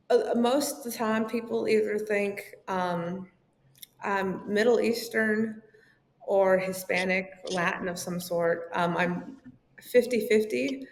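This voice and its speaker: Podcast guest: Southern woman from Tennessee, gravelly, open and chatty, recorded in a roomy space. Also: medium pitch. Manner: open, chatty, laughs often, many ums. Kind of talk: casual interview chat. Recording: echoey room, faint ambience.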